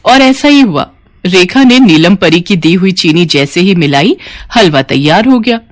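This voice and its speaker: A woman's voice: fairly low pitch, expressive, measured pace, dry recording, studio quality. A woman reading a scripted children's story as the storyteller.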